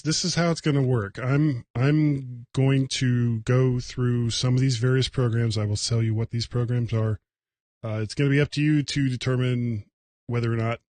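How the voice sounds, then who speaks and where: A man hosting a casual solo tech livecast, talking in a rambling, halting, self-deprecating way. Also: medium pitch, darkish tone, dry recording.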